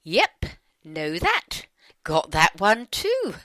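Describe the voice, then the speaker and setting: A woman reading her side of a scripted dialogue in a conversational, playful way, with emphatic pauses. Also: lowish voice, huge pitch swings, bright, forceful tone, no room sound, clean studio sound. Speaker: channeler reading her own questions.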